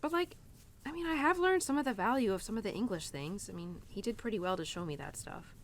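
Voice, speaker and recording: A young cowgirl, played by a woman, talks intimately in an audio roleplay, chatty and a little hesitant. Her mid-pitched voice swings expressively, showing an independent streak and inner conflict. Faint noise and ambience sit behind her. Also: animated, fast, lightly acted. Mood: chatty, wistful. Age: young adult. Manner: chatty, hesitant, informal, peppered with "like" and "you know".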